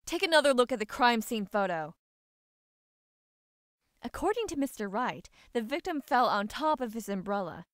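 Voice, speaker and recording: A woman voicing a determined, confident young female defense lawyer, assertive and deliberate, in a courtroom fan dub. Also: on the high side, sing-song, no room sound, clean studio sound. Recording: music behind the voice.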